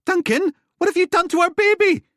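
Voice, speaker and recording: Brief: shrill, scolding ex-wife as a male narrator puts her on, pitched far up, audiobook. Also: brisk, fairly bright tone, dry recording, clean studio sound. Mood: panicked. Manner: scolding, hectoring. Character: shrill, furious middle-aged ex-wife. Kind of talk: audiobook character voice.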